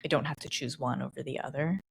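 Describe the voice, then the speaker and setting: Woman podcast host, low voice, gravelly edge, reflective, thinks aloud, conversational. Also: fairly even intonation, fast talker, slight background noise. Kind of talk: conversational interview.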